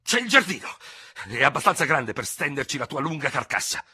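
A man voicing a furious, hot-headed small Gascon cavalry lieutenant, sputtering, hissing and shouting in an audiobook story. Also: on the low side, steady tone, fairly quick, husky, dry recording, studio quality, voice pushed up. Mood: furious, impetuous.

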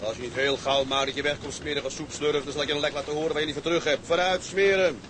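A man's voice: on the high side, steady tone, quick pace, lightly acted. Earnest ship's mate played by a man, noisy recording. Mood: commanding. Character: conscientious ship's first mate, stern with crew, tender husband. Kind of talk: Dutch radio drama acting. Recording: noisy drama track with loud ambience.

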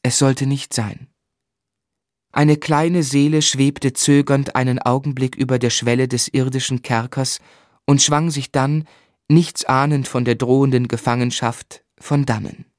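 An actor playing a gentle, melancholy gentleman who narrates his life in a literary, lyrical radio play style. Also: medium pitch, little pitch movement, a little slow, no room sound, clean studio sound, natural voice. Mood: wistful. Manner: lyrical, reflective.

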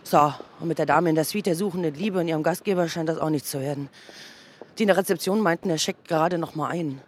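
Higher-voiced man over faint background noise. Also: quick pace. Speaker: hotel cleaner.

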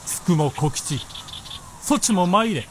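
An actor playing an imperious, amused feudal Japanese warlord in a period radio drama, with commanding, archaic, lordly speech. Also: male voice, high-pitched, expressive, takes it slowly, a little hiss or hum.

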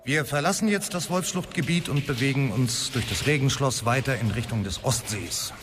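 A man's voice: medium pitch, moderate pace, noisy background, close to an everyday voice. An actor playing a stern, self-important male cave guide who lectures in a radio drama. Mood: solemn lecturing. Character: pompous, stern cave tour guide, man.

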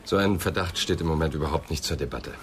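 A calm police inspector in a radio crime drama, with a low voice. He questions soberly and deliberately, with some noise behind him. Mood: calm, probing.

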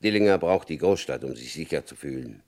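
An actor playing a stern, shrewd FBI chief in an old radio drama, talking curtly with a confident edge. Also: male voice, animated, fairly quick, a little mellow. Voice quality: deep gravelly voice. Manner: curt, confident, dry chuckles. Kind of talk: 1960s radio drama acting.

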